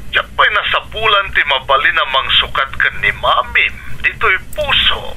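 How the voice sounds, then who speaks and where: An actor playing a devoted middle-aged widower father in a radio drama, mixing English into his drawn-out talk and reluctant to love again. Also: high voice, big pitch swings, bright, forceful tone, slight background noise, heavily acted, low-quality recording. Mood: wistful, devoted. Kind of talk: Ilocano radio drama acting.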